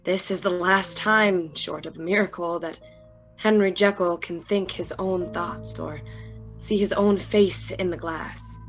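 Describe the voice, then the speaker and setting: A woman acting a doomed, despairing male scientist giving a solemn, measured final confession in a gothic radio drama. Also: on the low side, steady tone, low-quality recording. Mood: despairing, resigned. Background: loud music.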